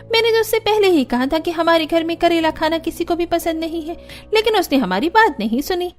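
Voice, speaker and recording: Woman as shrill-pitched spiteful elder sister-in-law. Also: lively intonation, a little fast, soft background music. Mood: indignant. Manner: snippy, complaining. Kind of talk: Hindi cartoon family drama acting.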